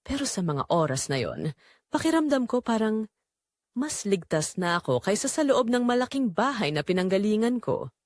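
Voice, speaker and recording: A woman's voice: mid-range voice, big pitch swings, moderate pace, a little mellow, no room sound, clean studio sound. A woman narrating a scripted first-person romance story for an audio drama.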